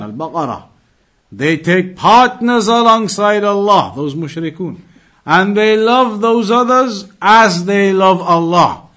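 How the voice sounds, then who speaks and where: Guy teaching a religion class, pretty high voice, really leans on his points and repeats them, asks rhetorical questions. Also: fairly bright tone. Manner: emphatic, repetitive, rhetorical questioning. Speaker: Islamic studies teacher.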